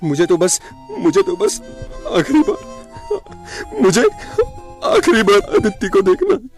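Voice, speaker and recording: A man acting a grieving young struggling actor in a radio drama, pleading and repeating himself haltingly. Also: high-pitched, wildly swooping pitch, faint music behind, full character voice. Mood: tearful, pleading.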